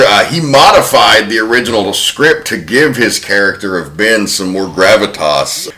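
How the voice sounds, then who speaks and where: A man hosting a casual podcast discussion, informatively laying out facts in a measured way. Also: lowish voice, pushed and bright, slight background noise. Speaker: podcast host presenting research.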